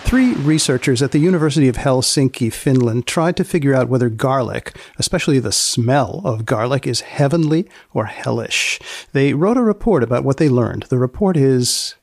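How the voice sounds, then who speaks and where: A man hosting a podcast, narrating and asking questions in a measured, deadpan way. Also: medium pitch, creaky. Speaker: podcast host and magazine editor.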